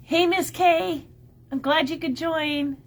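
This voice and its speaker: A woman's voice: very high voice, steady tone, takes it slowly. Scratchy-voiced woman hosting a live stream. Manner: friendly, greets viewers by name, many "um"s. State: scratchy, a bit nasal from a cold. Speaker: shop owner hosting a craft livestream. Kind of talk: casual live-stream chat.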